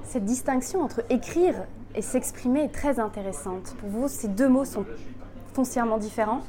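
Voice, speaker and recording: A woman's voice: a little high, moderate pace, dark tone. A woman interviewing a novelist, asking composed, formal questions. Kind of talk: prepared literary interview questions.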